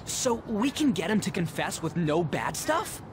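Brash, animated teenage boy. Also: high voice, a little slow, noisy background. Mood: excited. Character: brash, slangy teenage delinquent boy with a good heart. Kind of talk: English video game dub.

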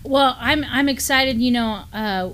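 A middle-aged woman with an American accent co-hosting a casual news discussion, speaking conversationally and a little haltingly. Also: on the high side, a bit bright. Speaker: news show co-host. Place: indoor studio at a table.

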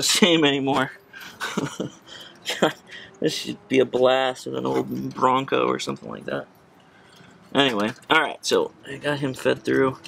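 A man's voice: mid-range voice, pushed and bright. Casual DIY guy, noisy outdoor recording. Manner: casual, chuckling, thinking aloud while working. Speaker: DIY presenter. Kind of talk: casual hands-on tutorial. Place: outdoors at an open car. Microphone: camera set near the work. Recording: clear background noise, outdoor ambience.

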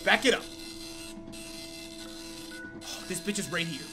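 A man's voice: high voice, a little slow, sharp tone, soft background music. A man in his thirties reacting to a game in a profane, exasperated way. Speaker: gamer.

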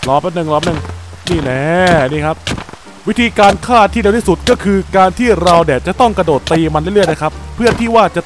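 A young man giving playful, excitable commentary while playing a block-building game, exclaiming often and joking with viewers. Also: high voice, background noise. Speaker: Minecraft gameplay YouTuber.

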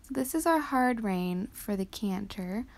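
Soft-spoken teen girl with gravelly fry. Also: medium pitch, lively intonation, a little slow. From Canada. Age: teens. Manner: soft, hesitant with frequent ums. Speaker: vlogger. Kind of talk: voice-over commentary on riding footage.

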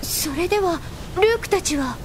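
Casting: determined royal young lady for anime drama, high voice, refined and formal. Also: lively intonation, moderate pace, background noise. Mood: eager, polite. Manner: formal, ladylike speech. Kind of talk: Japanese anime audio drama acting.

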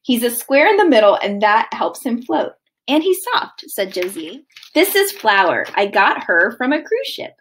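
A woman in her thirties, a kindergarten teacher, reading a children's book aloud with expressive, sing-song delivery. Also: fairly high pitch, normal speed, slight background noise. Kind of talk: read-aloud of a children's book to young kids. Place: living room at home, indoors.

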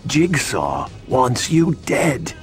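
An actor playing a scared, exasperated street informant in a video game, agitated and emphatic. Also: male voice, mid-range voice, wildly swooping pitch, drawn-out words, faint music behind, heavily acted. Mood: uneasy, warning. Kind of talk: video game cutscene acting.

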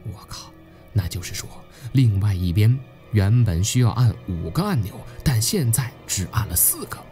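A jittery young tomb raider narrating an audio drama, lowish voice w music behind, suspenseful. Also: male voice, very animated, moderate pace, dark tone. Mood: alarmed, uneasy. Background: background music.

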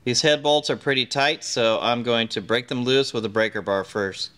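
A middle-aged man talks through his work in a big garage workshop, calm and matter-of-fact. His voice sits in an ordinary middle register, picked up by a camera mic close by. He explains each step plainly as he goes. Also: mid-range voice, moderate pace. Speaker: host. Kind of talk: hands-on workshop demonstration. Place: large garage workshop, indoors.

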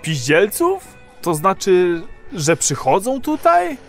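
An actor playing a nervous, naive first-time client in an underground, hesitant and earnest, in an audio drama. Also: male voice, high voice, wildly swooping pitch, slow, soft background music, heavily acted. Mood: surprised. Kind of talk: radio drama acting.

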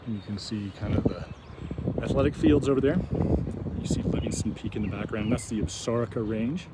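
Man, lowish voice, talks while walking small-town streets; loose, halting narration with audible street and wind noise. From United States. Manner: rambling, halting with many ums and restarts. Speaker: walking tour vlogger. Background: street ambience, passing vehicles.

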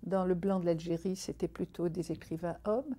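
Measured Algerian-born woman writer, mellow voice. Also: lowish voice, steady tone. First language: Arabic. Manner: thoughtful, measured, many pauses and restarts. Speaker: writer interviewed. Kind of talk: reflective interview talk. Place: indoor room by a window. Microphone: unseen, seated interview framing.

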